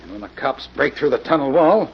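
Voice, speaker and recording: An actor playing a nervous, griping older engineer turned crook in a golden-age radio drama. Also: male voice, medium pitch, lively intonation, fairly quick, vocal fry. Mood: scheming. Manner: griping, agitated, anxious. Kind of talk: 1940s radio acting. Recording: muffled, noisy old radio recording.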